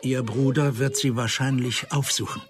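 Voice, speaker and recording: An actor playing a methodical police detective in a radio play, questioning in a probing, matter-of-fact way. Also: male voice, mid-range voice, fairly even intonation, moderate pace, soft background music, natural voice.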